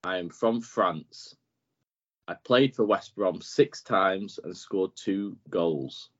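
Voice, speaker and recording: Brief: male quizmaster, relaxed delivery, lowish voice, muffled lo-fi sound. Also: slow, slightly rough. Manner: laid-back, reads clues plainly, chuckles often. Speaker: podcast quizmaster. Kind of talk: casual quiz chat. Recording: dull lo-fi podcast audio.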